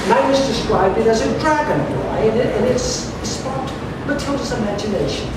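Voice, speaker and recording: Very echoey, distant live story reading. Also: mid-range voice. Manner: narrative reading with stumbles and repeated words. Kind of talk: live reading of a steampunk fairy tale. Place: live event space at a carnival. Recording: very echoey, noisy room, distant mic.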